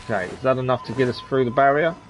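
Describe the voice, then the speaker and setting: A man's voice: medium pitch, very fast. A man casually commentating on his game livestream, musing aloud in an offhand way. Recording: game music behind.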